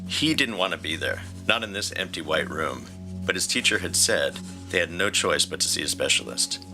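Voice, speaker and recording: A man's voice: deep voice, animated, moderate pace, over music. A man reading scripted story narration as the narrator. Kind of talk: scripted fan fiction narration.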